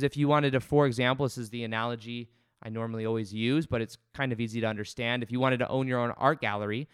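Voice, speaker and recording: Man with a smooth, dry voice. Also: mid-range voice, no room sound. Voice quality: loud voice. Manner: earnest, rambling, with frequent restarts. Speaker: podcast host. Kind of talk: casual spiritual self-help talk. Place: home studio.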